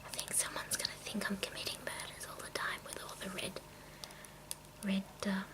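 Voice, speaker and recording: A woman making a casual ASMR video, speaking in a breathy, mostly whispered voice with a halting, rambling delivery. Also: medium pitch, monotone. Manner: hushed, unhurried, halting. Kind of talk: ASMR, rambling product show-and-tell.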